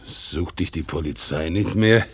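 An actor playing a broken old ex-convict, humble and bitter, talking haltingly in a radio play. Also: male voice, sits low, a little hiss or hum. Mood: wistful, resigned. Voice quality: gravelly, husky. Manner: halting, slow, musing. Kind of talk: 1960s German radio play acting.

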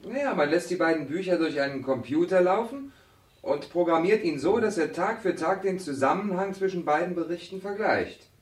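An actor playing a keen male student in a radio drama seminar, explaining ideas in an animated way. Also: high-pitched, moderate pace, lightly acted. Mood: confident. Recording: roomy, slightly distant studio acoustic.

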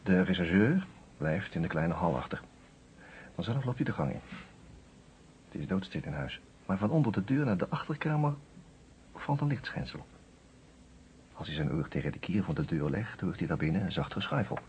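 A man's voice: low-pitched, expressive, moderate pace, long pauses. A man with a Netherlandic Dutch accent narrating a radio play from a script, telling it with suspense. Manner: suspenseful storytelling. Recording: muffled, slightly noisy old radio recording.

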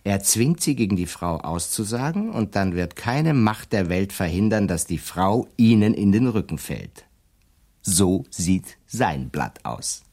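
An actor playing a shrewd, self-assured defence lawyer in a radio play, explaining his schemes deliberately and slyly. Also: low voice, sing-song, mellow, heavily acted. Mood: explaining, cool. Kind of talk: German radio drama dialogue.

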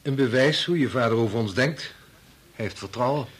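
An actor in a Dutch radio play, playing a calm, loyal male research assistant, polite and deliberate. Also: mid-range voice, slightly rough, natural voice. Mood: earnest.

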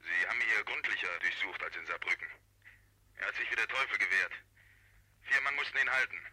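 An actor in a radio crime drama playing a policeman reporting to his chief in a husky, sharp voice. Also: fairly low pitch, fairly quick, exaggerated voice, rough recording. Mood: urgent, reporting.

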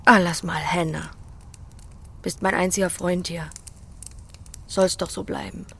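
An actress playing a blunt, tender pig-farming countrywoman in an audio drama, often speaking in a hushed, intimate half-whisper. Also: fairly low pitch, steady tone, measured pace, creaky, a little hiss or hum. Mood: defiant, disgusted. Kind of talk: naturalistic audio drama acting.

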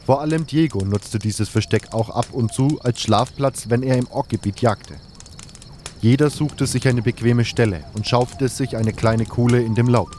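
A man plays a nameless adventurer telling his own story in a fantasy audio drama. He is tender and reflective, with a mid-pitched voice and faint birds and crickets behind him. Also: moderate pace, darkish tone, a little hiss or hum, close to an everyday voice. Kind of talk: scripted first-person fantasy audio drama narration. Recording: outdoor nature sounds behind voice.

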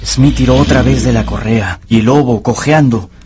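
A man's voice: mid-range voice, normal speed, heavy background noise. A man giving literary storytelling narration for a radio adaptation of a novel. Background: music.

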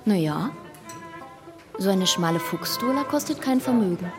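A woman's voice: medium pitch, animated, moderate pace, natural voice. An actress in a radio drama playing a worldly woman companion who coaxes in a breezy, persuasive manner. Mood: coaxing. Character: coaxing, worldly woman companion tempting with luxuries. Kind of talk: German radio drama acting. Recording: music playing behind the voice.

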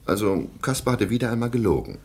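Low-voiced, sardonic baron. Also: male voice, sing-song, fast, a little mellow. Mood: indignant, narrating. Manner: dry, formal, sardonic. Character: stern, sceptical middle-aged German baron, sharply ironic. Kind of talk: German radio play acting.

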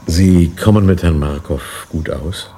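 An actor playing a smooth, insinuating research director in a German science fiction radio play, polite but probing. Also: male voice, low-pitched, a little slow, warm tone. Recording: faint background noise, early-1990s radio drama.

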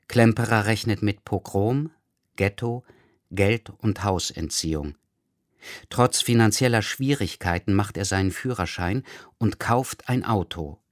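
Ein Mann, der sachlich und gemessen einen dokumentarischen Einführungstext vorliest. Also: male voice, deep voice, dry recording, clean studio sound. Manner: measured, factual. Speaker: narrator introducing the programme. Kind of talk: scripted documentary narration.

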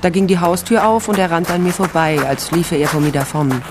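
A woman's voice: lowish voice, steady tone, fairly quick, noisy background, lightly acted. A woman playing a tough, laconic female private investigator and ex-cop in a radio crime drama, in narration and dialogue. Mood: dry, reflective. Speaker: narrator and lead actor. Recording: studio radio play with music underneath. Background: music.

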